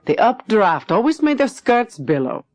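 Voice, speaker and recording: A woman's voice: medium pitch, sing-song, normal speed, a little mellow, no echo, voice pushed up, close to an everyday voice. A woman narrator voicing a romantic, playful older man, a hospital director, in an audiobook story. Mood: teasing.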